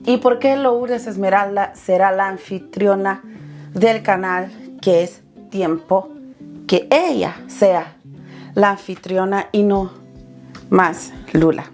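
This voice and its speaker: A woman's voice: mid-range voice, steady tone, slow pace, darkish tone, soft background music. A Salvadoran woman in her thirties, hosting her channel and telling her life story in a heartfelt, personal way, calling her viewers "chulis". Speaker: channel host.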